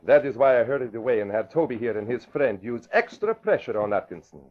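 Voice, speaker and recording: An actor playing a gloating, menacing spy villain with formal, deliberate phrasing, in 1940s radio drama style. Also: male voice, medium pitch, animated, natural voice. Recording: muffled vintage radio recording.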